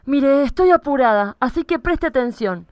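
A woman's voice: sits high, animated, normal speed, darkish tone, dry recording. A woman playing a stern, impatient judge who gives curt orders in a comedic radio play. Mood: stern, commanding. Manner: commanding, curt. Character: stern, impatient female judge, bossy and threatening.

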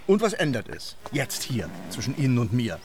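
An actor playing Goethe as an elderly, self-assured privy councillor in a German radio play, ironic, teasing and given to exclamation. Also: male voice, mid-range voice, sing-song, normal speed, a little mellow, a little hiss or hum, close to an everyday voice.

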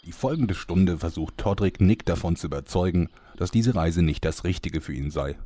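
A man narrating a scripted fantasy parody audio drama as a deadpan storyteller. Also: deep voice, moderate pace, no room sound, studio quality. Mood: measured, dry.

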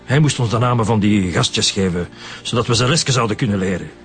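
An actor playing a defiant, hot-headed Flemish husband in a radio crime drama. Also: male voice, on the low side, a little fast, soft background music, close to an everyday voice. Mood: defensive.

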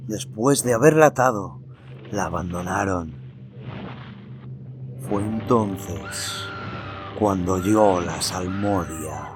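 A man reading a horror story aloud in a slow, theatrical way, then presenting notes on film music. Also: low voice. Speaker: narrator and podcast host. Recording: narration over loud music.

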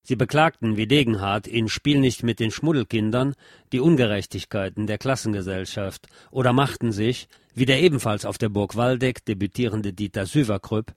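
Male feature narrator for scripted essay reading; formal, literate, measured, recorded dry with no room sound. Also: fairly low pitch, dry recording.

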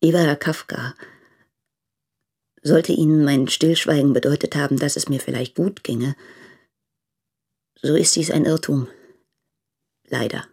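A woman playing an earnest, troubled married letter writer in a radio play, reading in a formal, measured manner. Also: low voice, darkish tone, slightly rough.

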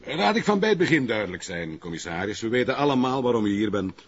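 Husky, pompous Flemish burgomaster. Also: male voice, lowish voice, very animated, fast. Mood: formal, firm. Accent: Flemish Belgian. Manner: formal, wordy officialese. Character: pompous, evasive middle-aged male burgomaster. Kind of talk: TV crime drama acting. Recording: muffled, lo-fi broadcast audio.

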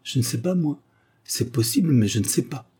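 A wily old country widower from a storybook , acted out by a male reader, obstinate and cheeky. The voice is husky and mellow, with rustic, colloquial phrasing that argues back defensively. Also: mid-range voice, lively intonation, moderate pace, pitched above the performer's own voice. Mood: evasive, defensive. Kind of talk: literary audiobook dialogue.

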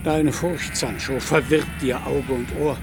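A man's voice: medium pitch, steady tone, measured pace, very noisy, natural voice. A man in a German radio play, playing a deluded, proud knight-errant with grandiloquent, archaic, declamatory speech. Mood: excited, grandiose.

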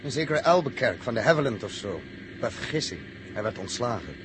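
A man's voice: medium pitch, very animated, brisk, natural voice. An actor playing a practical, level-headed rocket crewman who speaks matter-of-factly in an old radio drama. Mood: calm, reassuring. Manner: matter-of-fact, reassuring. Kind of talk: 1960s radio drama acting. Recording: noisy old radio recording, vehicle hum.

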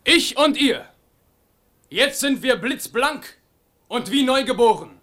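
High, bright, fervent war leader. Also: male voice, lively intonation, slow, full character voice. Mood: fervent, rallying. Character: fervent, rallying military leader addressing soldiers. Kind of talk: German radio play acting.

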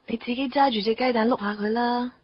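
A woman playing an insecure young girlfriend, speaking softly and pleadingly in a radio drama. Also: on the high side, steady tone, brisk, no echo, natural voice. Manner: soft and pleading. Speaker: the hero's girlfriend. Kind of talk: Cantonese radio drama acting. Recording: dull lo-fi radio recording.

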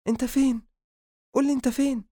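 A man voicing a tender, anxious young woman doctor with an Egyptian accent, in audiobook dialogue, soft-hearted and gently scolding. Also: sits high, rapid-fire, no echo, clean studio sound, voice pushed up. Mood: alarmed.

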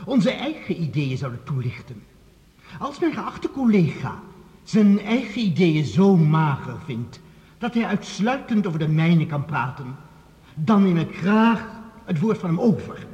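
A man's voice: sits high, a little mellow. A man acting in a radio drama as a haughty, indignant professor debating a rival with formal, cutting theatrical speech. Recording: dull reverberant radio drama recording.

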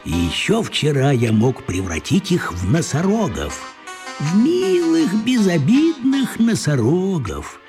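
An actor playing a kindly old wizard who poses as a postman, talking in a breathy, musing voice with swooping pitch. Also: wildly swooping pitch, takes it slowly, darkish tone, faint music behind, heavily acted. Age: older. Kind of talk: radio play acting.

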